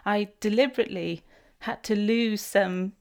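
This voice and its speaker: A woman's voice: mid-range voice, steady tone, moderate pace, gravelly, a little mellow. Podcast host, woman from Wales, friendly and thoughtful, mid-range voice. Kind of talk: conversational interview.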